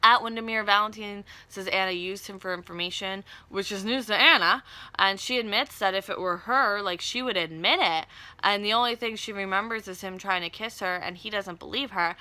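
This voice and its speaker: A woman's voice: mid-range voice, a little fast, bright, forceful tone, no echo. A woman hosting a casual, chatty TV soap recap, with wry asides and slangy, conversational paraphrasing. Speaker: video recap host.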